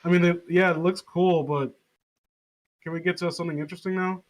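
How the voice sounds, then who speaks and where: A man's voice: high-pitched, steady tone, fairly quick. A man chatting casually with friends as a podcast co-host, rambling, sarcastic and swearing freely.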